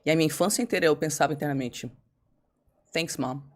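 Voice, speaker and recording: Fast-talking woman telling her story. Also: low-pitched. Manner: fast, animated, acts out her own past words. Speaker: podcast guest. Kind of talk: candid personal storytelling.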